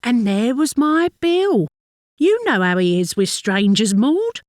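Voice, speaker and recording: Animated, high-voiced London working-class wife, played by an actress. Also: female voice, slow pace, no room sound, studio quality. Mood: confiding, impressed. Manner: gossipy, colloquial, confiding. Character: chatty working-class London wife, fond, mildly exasperated gossip. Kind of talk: dramatic monologue, conversational storytelling.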